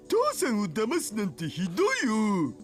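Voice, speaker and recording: Whiny, high-pitched geeky male slacker. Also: huge pitch swings, a little fast, faint music behind. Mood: flustered. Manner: whiny, wheedling, slangy. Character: lazy geeky otaku hacker, whiny and sheepish. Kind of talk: Japanese visual novel voice acting.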